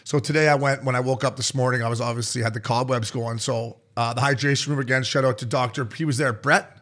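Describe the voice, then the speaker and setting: Fast-talking, foul-mouthed raspy man. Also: mid-range voice, steady tone, a bit bright. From Canada. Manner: fast, profane storytelling with voiced quotes. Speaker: podcast co-host. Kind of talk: casual chat.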